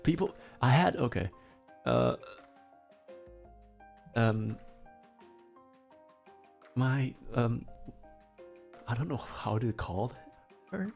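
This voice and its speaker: A man streaming a casual chat, his voice raspy and strained, rambling and swearing as he talks. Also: mid-range voice, very animated, drawn-out words, long pauses, darkish tone, faint music behind. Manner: rambling, swearing, laughing often.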